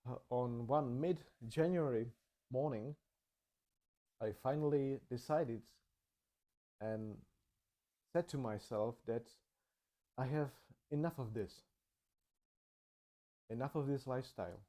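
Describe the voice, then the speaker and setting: Guy, english, calm reflective narration, really unhurried, low-key mellow tone. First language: Czech. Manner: slow, measured, thoughtful delivery. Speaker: channel host.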